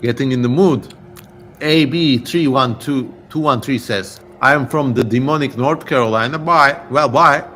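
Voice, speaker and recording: A man's voice: mid-range voice, moderate pace, a bit bright. A man with a Turkish accent and a mid-range voice hosts a livestream. He talks casually, with some playful theatrical flair, as he addresses his viewers. There is noticeable noise behind him. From Turkey. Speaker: livestream host and reader.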